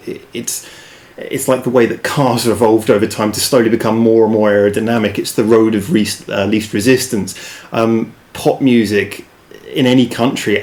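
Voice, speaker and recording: A man's voice: fairly low pitch, darkish tone. A man reviewing a song in a casual, rambling way, self-deprecating and full of restarts. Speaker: music reaction reviewer. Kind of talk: casual chat.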